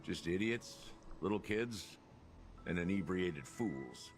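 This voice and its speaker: An actor playing a laid-back, sardonic, world-weary investigator in a game, with a casual, wry delivery. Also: male voice, sits low, animated, takes it slowly, soft background music.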